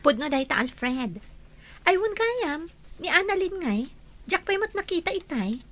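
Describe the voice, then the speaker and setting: An actress playing a chatty, cheerful young friend who brings gossip in a radio drama. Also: female voice, very high voice, sing-song, a little slow, a bit bright, full character voice. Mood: curious.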